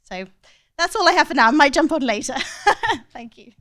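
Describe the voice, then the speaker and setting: A woman's voice: high-pitched, expressive, normal speed, fairly bright tone. A woman, a clinical psychologist giving a chatty, self-mocking short talk to an audience.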